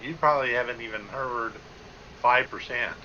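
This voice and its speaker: A man speaking quietly and hesitantly in a casual chat. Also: mid-range voice, moderate pace. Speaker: guest friend. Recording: distant muffled lo-fi, faint hum.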